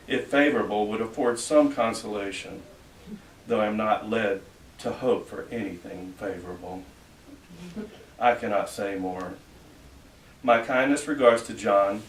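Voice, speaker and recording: A man's voice: lowish voice, roomy sound. Male live reader, formal and theatrical letter reading, distant mic with faint background noise. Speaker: reader at a library event. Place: library reading room at a public event.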